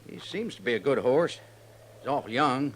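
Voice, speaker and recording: An actor in an old-time radio western playing a naive, nervous homesteader with a rural American accent. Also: male voice, mid-range voice, animated, normal speed, fairly bright tone, close to an everyday voice. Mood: wary, friendly. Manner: earnest, nervous. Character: naive, frightened young homesteader, plain and earnest. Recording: noisy old radio recording.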